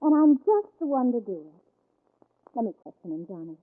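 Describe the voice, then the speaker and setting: An actress playing a witty, teasing wife eager to play detective, arch and playful in 1940s radio drama style. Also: female voice, a little high, big pitch swings, fairly quick. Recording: tinny, band-limited old radio recording.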